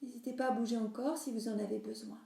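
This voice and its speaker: A woman yoga nidra teacher leading a guided relaxation meditation in a soothing, instructive way, with phrases that soften into breathiness. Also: a little high, fairly even intonation, fast, gravelly. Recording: roomy, reverberant voice recording.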